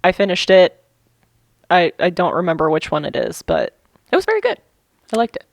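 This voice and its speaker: A woman co-hosting a podcast, joking and swearing casually in relaxed chat. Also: on the low side, brisk, vocal fry, no echo, studio quality. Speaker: podcast co-host.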